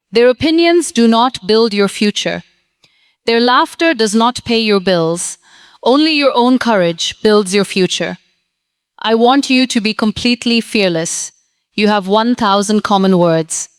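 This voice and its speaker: A woman's voice: on the high side, unhurried, smooth, no room sound, clean studio sound. A woman giving a scripted motivational English lesson, earnest and encouraging, speaking in short, plain sentences. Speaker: English teacher.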